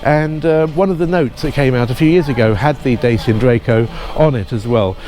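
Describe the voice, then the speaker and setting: A man's voice: medium pitch, moderate pace, dark tone. A man presenting outdoors at a football ground, talking informally with many ums and restarts. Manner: hesitant, full of restarts and ums, apologetic.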